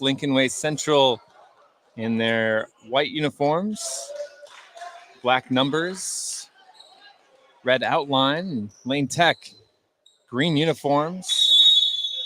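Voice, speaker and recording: A man doing live play-by-play radio commentary on basketball, describing the action in short, clipped phrases. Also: a little high. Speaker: play-by-play announcer. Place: indoor basketball gym, courtside broadcast. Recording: noisy gym sound, bouncing balls. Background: loud gym ambience, basketball bounces.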